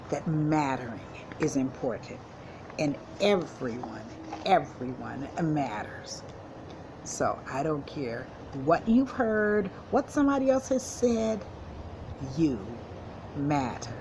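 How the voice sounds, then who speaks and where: Low-voiced woman counselor teaching young children. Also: expressive, background noise. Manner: simple, encouraging address to young children, with deliberate emphasis. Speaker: school counselor reading to children. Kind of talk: storybook read-aloud and lesson talk for children.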